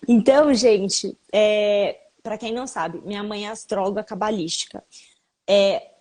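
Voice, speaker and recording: A hoarse woman hosts a casual livestream, explaining things step by step like a teacher. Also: mid-range voice, steady tone, unhurried, darkish tone. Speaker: host of a kabbalah livestream.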